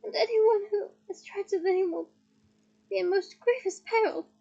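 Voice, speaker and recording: A woman putting on a pompous old wizard who frets and splutters. The voice stays high and rises higher in alarm. It comes from a storybook reading. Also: normal speed, creaky, dark tone, lo-fi sound. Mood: flustered. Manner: flustered.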